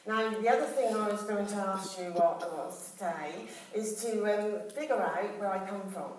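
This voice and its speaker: A woman's voice: mid-range voice, normal speed. Woman flower demonstrator, rambling and chatty, full of ums, distant mic, very echoey hall. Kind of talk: live floristry demonstration with chatty asides.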